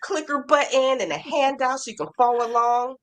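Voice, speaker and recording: A woman with a slightly high voice hosts a casual interview. She is encouraging and keeps affirming her guest. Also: brisk, a bit bright. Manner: encouraging, frequent backchannel affirmations. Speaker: host.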